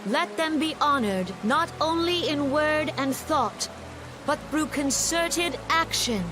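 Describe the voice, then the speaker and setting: An actress playing a solemn, compassionate leader of a forest nation, giving a formal memorial speech in a video game with stately, measured delivery. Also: female voice, sits high, lively intonation. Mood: earnest, uplifting. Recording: music playing behind the voice.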